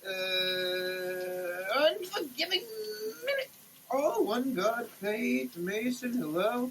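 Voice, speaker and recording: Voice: medium pitch, fairly bright tone, a little hiss or hum, poor audio. A person giving a theatrical, declamatory dramatic reading of printed text. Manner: theatrical, declamatory, with muttered asides. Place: shower.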